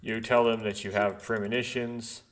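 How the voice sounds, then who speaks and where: A man doing a casual let's play, haltingly thinking aloud and reading visual novel text out. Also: fairly low pitch, little pitch movement, slight background noise. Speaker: let's player. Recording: background music behind voice. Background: game music.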